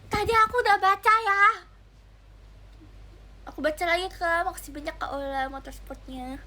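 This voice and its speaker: A woman's voice: very high voice, moderate pace, bright, forceful tone. A young woman, chatting casually and giggly with viewers on a livestream, addressing them directly. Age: young adult. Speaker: idol livestreamer.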